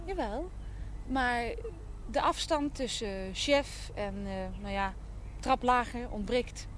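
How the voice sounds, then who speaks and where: An actress playing a polite, practical and candid young secretary in a 1970s Dutch television drama, direct and composed. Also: female voice, fairly high pitch, slow pace. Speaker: actor in a television drama series. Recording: noisy old television soundtrack.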